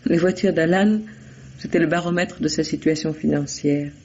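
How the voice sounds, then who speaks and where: An actor playing an evasive, defensive murder suspect in a vintage radio mystery, hesitant and guarded under questioning. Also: male voice, a little high, steady tone, moderate pace, darkish tone, slight background noise, close to an everyday voice. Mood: explaining, wistful. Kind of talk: 1950s French radio mystery acting. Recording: dull lo-fi old radio recording.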